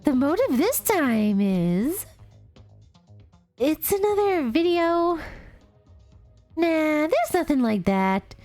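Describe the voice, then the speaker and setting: A woman voicing a mischievous, gleefully cruel male bear mascot, with a teasing, drawn-out delivery as she reads a game's dialogue aloud. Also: sits high, warm tone, no echo, pitched above the performer's own voice, heavily acted.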